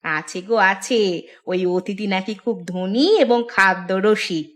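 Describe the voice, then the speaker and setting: A woman's voice: mid-range voice, slow pace, lightly acted. A woman playing a cunning, greedy village wife who plots and coaxes in a theatrical cartoon manner. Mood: scheming. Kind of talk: Bengali cartoon dialogue.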